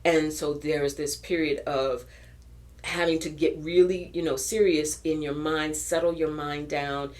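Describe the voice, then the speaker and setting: Low-voiced woman, a little raspy. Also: steady tone, unhurried, a little mellow. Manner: earnest, encouraging, spiritual phrasing with many restarts. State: slightly raspy early-morning voice. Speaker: tarot reader. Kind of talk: pick-a-card tarot reading.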